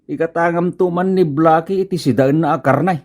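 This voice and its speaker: A man acting an older grumbling uncle in an Ilocano comedy radio drama, with an Ilocano Filipino accent and expressive colloquial delivery. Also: on the high side, a little fast, close to an everyday voice.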